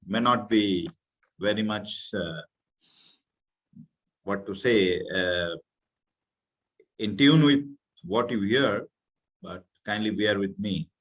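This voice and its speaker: A middle-aged man from India with an Indian accent, giving an earnest religious talk to a youth class and sometimes pausing to search for words. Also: fairly low pitch, fairly bright tone. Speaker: guest speaker. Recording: muffled, slightly lo-fi audio.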